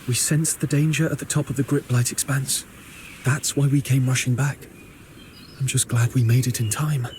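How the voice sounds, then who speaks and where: A man's voice: medium pitch, steady tone, moderate pace, darkish tone, slight background noise, lightly acted. A man playing an earnest, caring young hero in a video game, speaking sincerely. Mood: relieved. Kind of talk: video game character voice acting.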